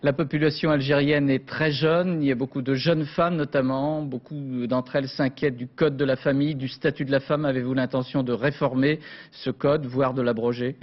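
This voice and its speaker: Measured male news anchor. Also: medium pitch, steady tone. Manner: polite, measured questioning. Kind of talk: formal news interview questions. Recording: dull lo-fi broadcast audio.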